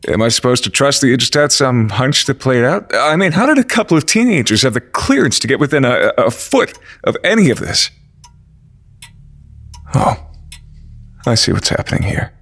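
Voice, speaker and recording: A man playing a grieving, distrustful news reporter in an audio drama, speaking in a halting, emotional way. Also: medium pitch, big pitch swings, fairly bright tone. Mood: suspicious.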